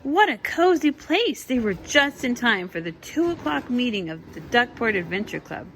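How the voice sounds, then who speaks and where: A woman in her thirties reading a storybook aloud in an animated, drawn-out way, breaking off to chat with young children. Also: fairly high pitch, slight background noise. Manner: animated, drawn-out delivery with exclamations. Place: at home among young children.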